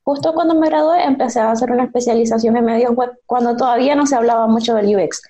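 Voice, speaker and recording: A woman's voice: fairly high pitch, quick pace, warm tone. A woman in her thirties with a Venezuelan accent, answering conversationally as a podcast guest.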